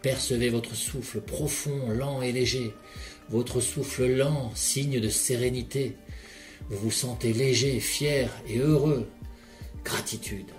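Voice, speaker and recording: A man leading a guided relaxation session in a calm, measured voice, speaking directly to the listener. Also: mid-range voice. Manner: calm, measured second-person guiding. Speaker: hypnotherapist guide. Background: soft background music.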